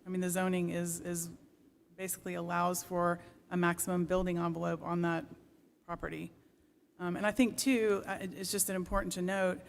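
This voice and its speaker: A planning staff member speaks at a public meeting in a measured, explanatory manner. The pitch is medium and stays quite flat. There is faint room noise around the voice. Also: vocal fry. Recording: noisy meeting room ambience.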